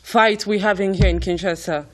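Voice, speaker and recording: A woman with a Congolese accent speaking earnestly and haltingly as a guest in a discussion. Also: medium pitch, fairly even intonation. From eastern Democratic Republic of Congo. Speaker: panel guest.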